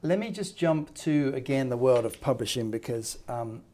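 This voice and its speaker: A middle-aged man hosting an interview, talking in a rambling, halting way full of restarts and enthusiastic asides. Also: medium pitch, expressive, mellow, slight background noise. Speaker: interview host. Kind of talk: conversational interview.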